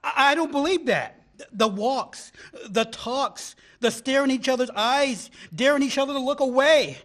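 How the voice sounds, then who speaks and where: A man's voice: extremely high pitch, moderate pace, fairly bright tone. A man acting an earnest, gushing suitor who stammers and hesitates, in a radio play. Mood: hurt, flustered. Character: earnest, smitten, gushing male suitor, Colin.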